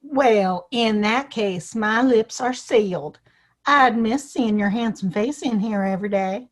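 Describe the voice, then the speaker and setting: Woman playing a sassy high-voiced saloon gal. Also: a little slow. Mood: flirty. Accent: American. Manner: flirty, sassy, folksy. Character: sweet-talking, spirited Old West saloon woman. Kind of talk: Western audio play acting.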